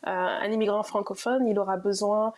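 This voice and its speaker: A woman's voice: medium pitch, fairly even intonation, normal speed, darkish tone. A woman, herself an immigrant, answering interview questions about her program with frequent hesitations. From immigrated to Ontario. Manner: hesitant, many fillers.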